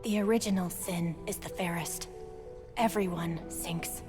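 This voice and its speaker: Woman playing an ominous, lowish herald of doom. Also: unhurried, natural voice. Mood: ominous, solemn. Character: ominous, solemn woman pronouncing doom on sinners. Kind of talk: dramatic game trailer voice-over. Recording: clear music behind.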